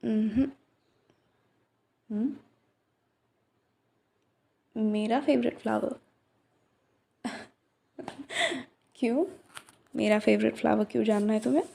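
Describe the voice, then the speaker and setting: A woman in a soft-spoken roleplay, playing a young flower shop owner who teases gently and drops into breathy whispers. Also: fairly high pitch, steady tone, slow pace, pauses often. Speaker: voice actress in a listener roleplay. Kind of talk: ASMR roleplay, one side of a conversation.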